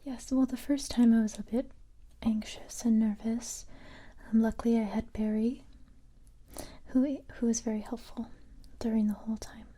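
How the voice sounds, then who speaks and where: Woman, soft ASMR whisper, breathy, flat intonation, gentle halting delivery, roleplaying a capable special-forces recruiter. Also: a little high.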